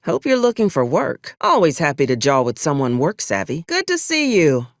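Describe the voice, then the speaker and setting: Wry ambitious army officer for a game, super animated pitch, folksy old-timey formal talk, ruthless, dry studio sound. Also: female voice, normal speed, dry recording. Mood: wry, businesslike. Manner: formal archaic diction mixed with folksy frontier slang. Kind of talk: video game character dialogue.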